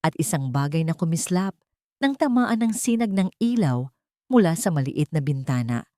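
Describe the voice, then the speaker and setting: A Filipino woman narrates a dramatic first-person audiobook story. Her voice is low in pitch, and the sound is close and dry, with no room around it. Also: big pitch swings, moderate pace, dark tone, no room sound.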